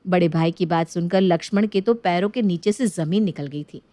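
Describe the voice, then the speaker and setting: A woman's voice: lowish voice, brisk, mellow, dry recording. A woman narrating a scripted family moral story as a storyteller.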